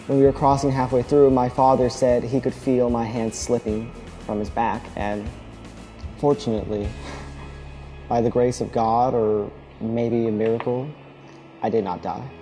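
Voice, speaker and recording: A young man from Alabama giving an earnest, reflective personal testimony speech, sometimes pausing to restart a phrase. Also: mid-range voice, level tone, dark tone, faint music behind. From Alabama, United States. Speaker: speaker telling his own story.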